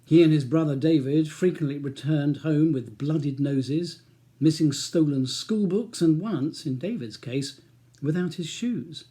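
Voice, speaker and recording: A male author narrates his crime novel in scripted audiobook style. His voice is a little higher than average for a man and very mellow, with soft edges. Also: a little slow. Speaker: author reading own audiobook.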